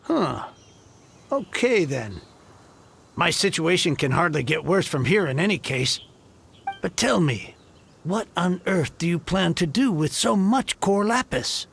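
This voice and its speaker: A man's voice: high-pitched, sing-song, unhurried, vocal fry, a bit bright, slight background noise, full character voice. A man voicing an anxious merchant who stammers and frets in a game. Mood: relieved. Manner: nervous, stammers, exclaims. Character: anxious middle-aged male merchant, frazzled and stammering. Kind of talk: game dialogue.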